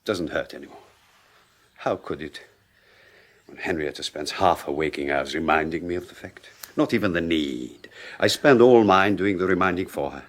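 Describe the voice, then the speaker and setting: An actor playing a courteous, quietly bitter younger husband in a 1980s radio horror drama, dry and ironic. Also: male voice, low voice, normal speed. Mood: wry. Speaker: Carlos Mendoza.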